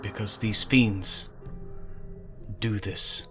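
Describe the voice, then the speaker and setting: A man playing a grave fiend-hunter in a fantasy audio drama, speaking in a halting, deliberate way. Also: lowish voice, steady tone, music in the background. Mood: grave, warning. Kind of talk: actual-play audio drama acting.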